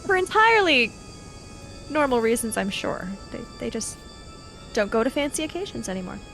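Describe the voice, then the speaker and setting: A woman's voice: fairly high pitch, big pitch swings, normal speed, creaky, a bit bright, faint music behind. A woman chatting casually and roleplaying as a player in a tabletop game.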